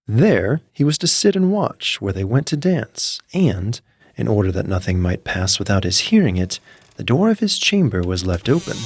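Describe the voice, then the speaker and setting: A male narrator tells a fairy tale with measured delivery. His voice sits fairly low with a husky grain, and it rises and falls expressively. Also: dry recording.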